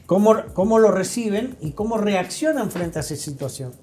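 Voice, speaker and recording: A male interview guest with an Argentine accent explains things in a conversational, teacherly way. His voice is mellow and warm, with faint music playing behind it, and he punctuates points with short tag questions. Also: high voice, expressive, normal speed.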